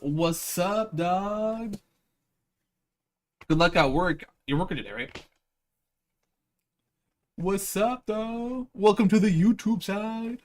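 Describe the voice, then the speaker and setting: A man livestreaming with slangy, playful, rambling casual chat to his viewers. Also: on the high side. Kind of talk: casual livestream chat, reading visual novel aloud.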